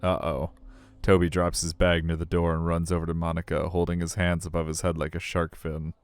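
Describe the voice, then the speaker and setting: Deep-voiced man streaming a story game. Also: monotone, a little fast, clear, resonant tone. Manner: joking, chatty. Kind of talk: live reading of a visual novel with casual commentary. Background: game music.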